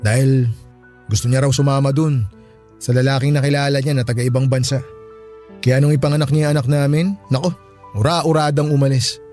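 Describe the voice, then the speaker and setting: Young single father for Filipino radio drama, earnest and courteous, average male pitch, music bed male actor. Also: steady tone, fairly quick, long pauses, warm tone, lightly acted. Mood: earnest, hurt. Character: polite, earnest young single dad, former flame.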